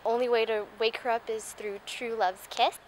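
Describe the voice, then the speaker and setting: A woman's voice: on the high side, fairly even intonation, normal speed, slight background noise. A woman giving casual, short answers in an interview.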